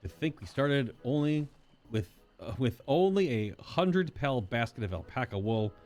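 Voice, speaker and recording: A man reading a spirited young catlike princess from a game, raising his voice higher and sounding eager. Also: sing-song, measured pace, a little mellow, soft background music.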